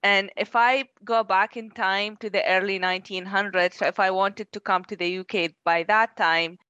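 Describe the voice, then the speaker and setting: A woman's voice: medium pitch, steady tone, pushed and bright, smooth, no room sound, highs cut off. A woman from Iraq, a structural engineer, speaking thoughtfully as a podcast guest. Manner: thoughtful, measured, some restarts. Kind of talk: casual chat.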